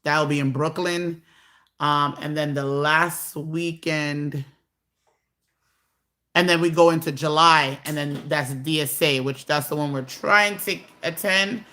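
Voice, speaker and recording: A woman's voice: low voice, fairly even intonation, takes it slowly, pushed and bright. A woman casually hosting a live stream, chatting and reading viewer comments aloud.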